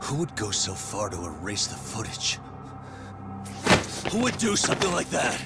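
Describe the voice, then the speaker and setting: Defiant low-voiced private detective. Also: male voice, huge pitch swings, moderate pace, over loud music. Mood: probing, incredulous. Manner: challenging, probing, wry. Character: dogged male private detective, defiant and righteous. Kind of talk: English dub of a video game drama.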